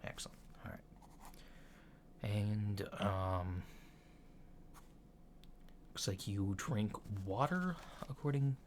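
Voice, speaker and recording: Casting: male performer for a polite alien physician in ASMR roleplay, lowish voice, unhurried and reassuring, noisy lo-fi sound. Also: lively intonation. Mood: calm, reassuring. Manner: hesitant, methodical, reassuring clinical questioning. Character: polite, unhurried human-looking alien doctor giving a checkup. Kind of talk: soft-spoken ASMR roleplay. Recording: lo-fi with clear background noise.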